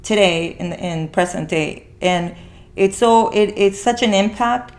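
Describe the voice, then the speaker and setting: A woman's voice: medium pitch, unhurried, darkish tone. A woman hosting a podcast in casual conversation, rambling as she repeats and rephrases herself. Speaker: podcast host.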